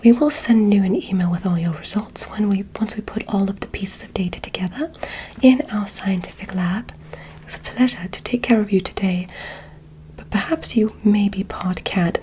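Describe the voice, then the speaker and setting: A woman doing a whispered ASMR roleplay as a polite, curious alien examiner, speaking in a gentle, breathy, soothing way. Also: mid-range voice, a little hiss or hum, rough recording. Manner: slow, gentle, soothing bedside delivery. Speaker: ASMR performer.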